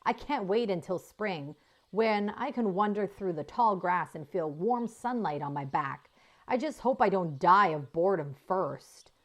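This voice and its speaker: A woman's voice: medium pitch, expressive, a little slow. A woman voicing a restless, flippant young female cow in a children's story. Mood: bored. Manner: moody, flippant.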